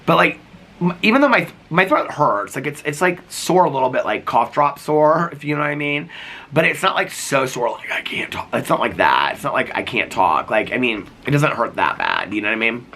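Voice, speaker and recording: Sick man rambling outdoors, bright voice. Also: medium pitch, sing-song, a little fast. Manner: rambling, full of "like" and restarts. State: sick with a cough, voice going. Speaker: vlogger. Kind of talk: casual vlog chat. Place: sitting outdoors.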